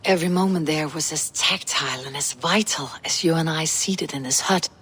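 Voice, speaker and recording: An actress playing a stoic, battle-worn Viking warrior in a video game, telling a tale in a grave, measured way. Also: female voice, low-pitched, a bit bright, husky, exaggerated voice. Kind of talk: dramatic game dialogue, recounting a tale.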